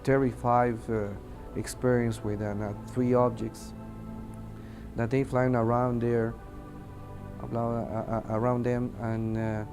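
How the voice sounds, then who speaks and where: A man with a Mexican accent, a native Spanish speaker, giving testimony in an interview and haltingly searching for words in his second language. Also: mid-range voice, level tone, music in the background. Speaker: air traffic controller giving testimony. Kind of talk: interview testimony, unscripted recounting.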